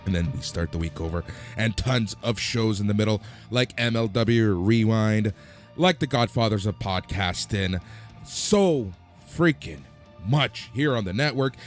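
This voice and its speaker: Man with a worn-out voice hosting a podcast. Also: lowish voice. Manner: plugs shows in a quick run. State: voice almost gone. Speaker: podcast host. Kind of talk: casual chat.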